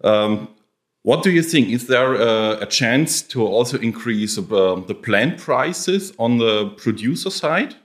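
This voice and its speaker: A man interviewing a producer, asking his questions in a halting way with fillers. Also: medium pitch, expressive.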